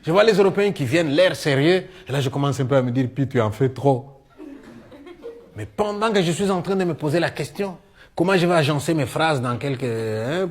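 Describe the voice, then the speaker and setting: A middle-aged man with a Congolese French accent tells comic stories in a one-man show, acting out dialogue in an animated way. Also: on the high side. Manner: animated storyteller, acting out dialogue, punctuating with "hein". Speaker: stage storyteller and comedian.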